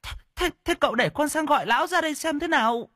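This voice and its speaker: Man putting on a high, flustered village woman. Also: lively intonation, fast, bright, forceful tone, dry recording, clean studio sound, exaggerated voice. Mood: panicked. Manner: stammering, agitated. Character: flustered, frightened village woman, older wife.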